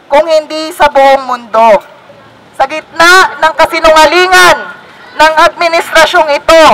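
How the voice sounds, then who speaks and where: High, bright speaker at a protest. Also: rough recording. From Philippines. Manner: measured, emphatic, phrase-by-phrase. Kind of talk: formal protest speech. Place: outdoor protest rally.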